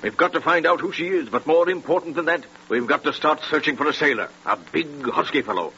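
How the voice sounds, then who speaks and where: An old muffled radio recording with faint noise carries a decisive British police investigator. He rattles along briskly in 1930s style. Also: a little high, lively intonation, pushed and bright.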